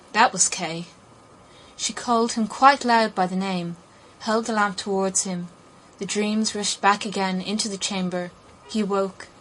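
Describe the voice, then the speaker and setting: A woman reading a fairy tale as an audiobook narrator, in an expressive storytelling manner. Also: medium pitch, rough recording. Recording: clear background noise.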